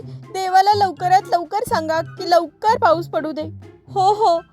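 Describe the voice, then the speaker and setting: A woman's voice: extremely high pitch, voice pushed up. A woman voicing a gullible, trusting goat who pleads imploringly in a children's animal fable.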